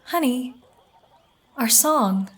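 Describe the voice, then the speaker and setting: A woman acting a gentle, protective farm mother in an audio drama. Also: fairly high pitch, lively intonation, extremely slow, full character voice.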